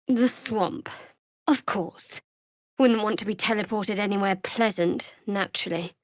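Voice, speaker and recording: An actress playing a guarded, sardonic young female cleric of a dark goddess in a video game, speaking with dry, wry, clipped sarcasm. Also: medium pitch, no room sound, natural voice. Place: dry studio recording.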